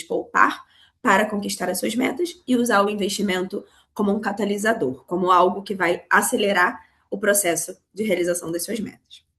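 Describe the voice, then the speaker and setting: Carioca woman with a cold, bit hoarse, explaining money stuff to students over a video call. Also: medium pitch. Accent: Carioca Brazilian Portuguese. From Rio de Janeiro, Brazil. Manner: explanatory, addresses students directly. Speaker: financial educator teaching an online class. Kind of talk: live online class.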